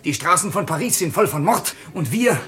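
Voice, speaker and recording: An actor playing a zealous, suspicious night-patrol police lieutenant in a 1950s German radio drama, clipped and menacing. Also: male voice, fairly high pitch, expressive, moderate pace, a bit bright. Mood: suspicious, grim.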